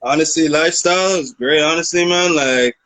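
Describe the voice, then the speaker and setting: A man's voice: on the high side, fairly quick, fairly bright tone, no room sound. A man in his thirties from Canada, a rapper guest telling his story in a laid-back, rambling way. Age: 30s. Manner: laid-back, rambling, repeats you know what I mean. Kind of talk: casual interview storytelling.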